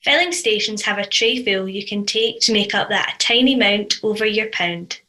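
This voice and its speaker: Female reader, clear-cut bright tone, mid pitch, scripted devotional piece. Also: moderate pace, rough recording.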